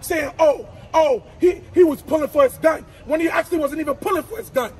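High-voiced man street preaching loudly. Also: brisk. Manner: forceful, repetitive, stammering restarts. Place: city sidewalk at night, outdoors. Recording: crowd noise on a street.

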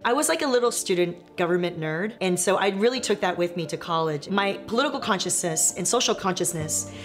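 A woman's voice: lowish voice, animated, brisk. A woman comedian in her thirties, from Taiwan, talking frankly with self-deprecating humor in an interview. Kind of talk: documentary interview, candid storytelling. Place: dark comedy club, seated. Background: music.

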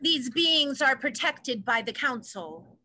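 A woman's voice: high voice, expressive, slow, pushed and bright, exaggerated voice, rough recording. A woman playing a firm, protective sea creature in a play reading. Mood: firm warning.

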